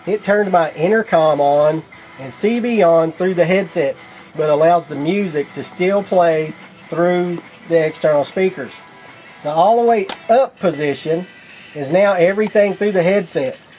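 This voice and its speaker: A man's voice: high-pitched, unhurried, darkish tone, faint music behind, poor audio. A man giving a casual how-to demonstration in a folksy, plain-spoken way, like a product demonstrator explaining things step by step.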